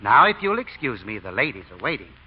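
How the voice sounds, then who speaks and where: A man's voice: medium pitch, very animated, a little fast, background noise, lightly acted. A man playing a sly folksy deacon. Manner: folksy, homespun sayings, drawling. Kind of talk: 1940s radio acting. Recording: dull lo-fi old radio broadcast.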